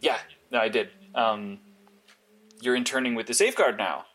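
An earnest male superhero detective from an audio drama. He speaks at a middle pitch in a halting, analytical manner, with nervous conviction. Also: fairly bright tone, husky. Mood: curious. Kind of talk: naturalistic audio drama monologue and phone calls.

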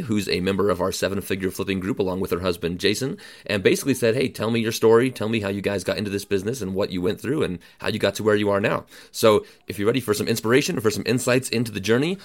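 A man hosting a podcast, giving a brisk, promotional introduction to the episode. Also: lowish voice. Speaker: podcast host.

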